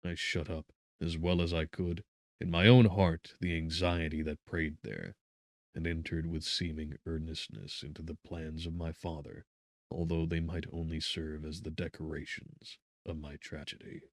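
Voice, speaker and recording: A man narrating a literary audiobook in a solemn, theatrical manner, with deliberate, emphatic phrasing. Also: extremely low pitch, steady tone, no echo, studio quality.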